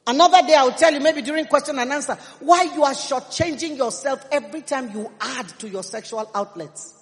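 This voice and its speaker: A woman's voice: high-pitched, fairly quick. A Nigerian woman in her fifties preaching a sermon, emphatic and humorous, with a Nigerian accent. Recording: long reverb in a big hall.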